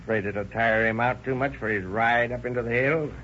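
An actor playing a jealous, bullying middle-aged postmaster in 1930s radio acting, gruff and sneering. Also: male voice, mid-range voice, brisk, fairly bright tone, close to an everyday voice. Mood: jealous rage. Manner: gruff, sneering, explodes in anger. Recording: muffled old radio broadcast with faint hiss.

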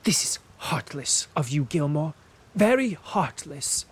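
Fussy invalid gentleman, high and whining, petulant and affected, put on by a male narrator. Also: animated, a little slow, slightly rough, full character voice. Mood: plaintive.

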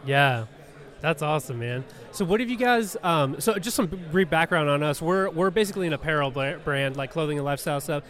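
A laid-back male co-host with a slightly high voice, chatting casually. He keeps calling his guests man. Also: expressive, fairly quick, a bit bright. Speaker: podcast co-host. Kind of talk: casual interview chat.

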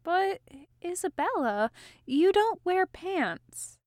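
A woman's voice: extremely high pitch, sing-song, takes it slowly, darkish tone, no room sound, studio quality, pitched above the performer's own voice, exaggerated voice. A woman voicing a sweet, naive young elf woman with an earnest, fretful manner in a fantasy story. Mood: puzzled, worried. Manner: earnest, innocent, exasperated sighing.

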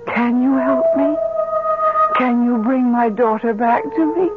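An actress in an old radio melodrama playing a fragile, desperate mother in grief, halting and pleading. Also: a little high, over loud music, close to an everyday voice. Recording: dull, noisy old radio recording.

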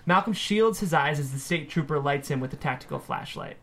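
A man narrates a screenplay at a table read. His voice is fairly high for a man, and he delivers scene headings and action lines as scripted narration. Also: animated, fairly quick, gravelly, fairly bright tone. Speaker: stage-direction reader.

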